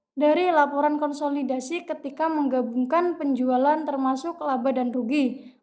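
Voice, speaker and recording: Young woman presenter, very high voice. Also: darkish tone. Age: young adult. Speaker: student presenter. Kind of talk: scripted lesson narration read aloud.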